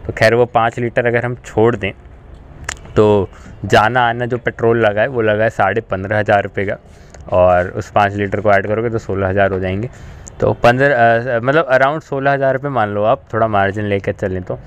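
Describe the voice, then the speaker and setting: A man's voice: on the low side, steady tone, normal speed, clear, resonant tone. A man talking casually as a car vlogger, informally mixing in English words. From India. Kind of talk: casual road-trip vlog talk.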